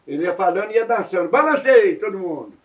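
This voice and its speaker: An older man in an interview recalling old dances in rapid, loosely strung memories. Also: sits high, lively intonation. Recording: voice picked up from a distance.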